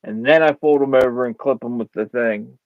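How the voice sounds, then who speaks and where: A man hosting a casual chat, easygoing and quick to laugh. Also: mid-range voice, dry recording. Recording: dull, dry lo-fi mic.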